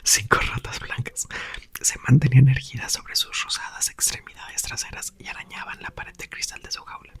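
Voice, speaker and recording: A man reads a story aloud in a breathy, intimate ASMR whisper, with soft and expressive narration. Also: mid-range voice, moderate pace. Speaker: ASMR creator reading a book aloud.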